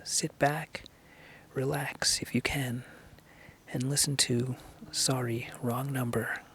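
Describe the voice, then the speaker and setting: A man hosting a listening show, whispering a scripted introduction in an unvoiced, hushed voice. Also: medium pitch, little pitch movement, slow pace. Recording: faint background noise.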